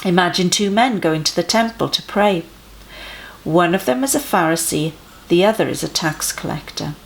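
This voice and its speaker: A woman voicing a friendly, earnest male teacher in a children's storybook, emphatic and gently instructive. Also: on the low side, lively intonation, moderate pace, vocal fry, rough recording. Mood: storytelling.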